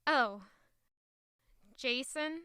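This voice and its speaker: Bright, high-voiced coy young lady played by an actress. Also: very slow, clear, resonant tone, no room sound, studio quality, full character voice. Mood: flustered, coy. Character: young noble lady, coy, quick to deny. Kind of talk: audio drama acting.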